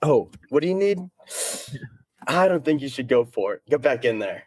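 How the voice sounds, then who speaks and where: A man's voice: mid-range voice, sing-song, moderate pace, dry recording. A man chatting casually as a guest, easygoing and slangy, rambling as he goes. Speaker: interview guest, competitive cornhole player. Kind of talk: casual chat.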